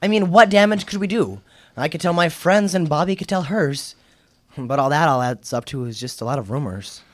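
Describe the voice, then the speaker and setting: An actor in a radio drama playing an inquisitive, skeptical high school boy with a questioning, challenging manner. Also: expressive, moderate pace, a bit bright, no echo. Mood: defiant, skeptical.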